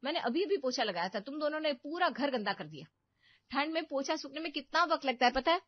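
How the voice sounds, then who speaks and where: A woman's voice: high voice, big pitch swings, fast talker, no echo, muffled recording, voice pushed up. A woman playing a patient, polite young daughter-in-law and mother in a cartoon story. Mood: scolding.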